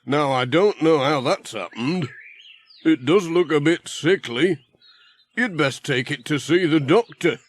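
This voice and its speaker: A man's voice: fairly high pitch, measured pace. A man voicing a breezy, slapdash village handyman with blunt, offhand rustic talk for a children's audiobook. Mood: unbothered.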